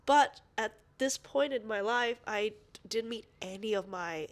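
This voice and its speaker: A woman in her thirties, a podcast co-host, talking casually and a little sniffly, often restarting her sentences. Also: fairly high pitch. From Australia. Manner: rambling, self-interrupting, quick to laugh. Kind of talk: casual chat.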